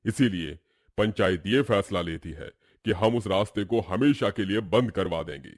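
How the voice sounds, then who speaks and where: A man's voice: deep voice, moderate pace, darkish tone, no echo, studio quality. A man playing a grave village headman speaking formally in a horror cartoon story. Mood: grave resolve. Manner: formal, solemn. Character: grave village headman announcing council's decision.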